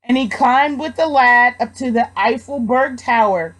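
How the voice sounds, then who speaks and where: A young woman with a high voice reads a children's story aloud. Her delivery is slow and expressive, following the rhymes. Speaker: storybook reader, education student.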